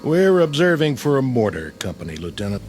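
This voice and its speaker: An American actor playing a weary, cynical platoon sergeant in a radio drama, dry and colloquial. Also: male voice, mid-range voice, sing-song, a little fast, darkish tone, faint music behind, lightly acted. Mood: guarded.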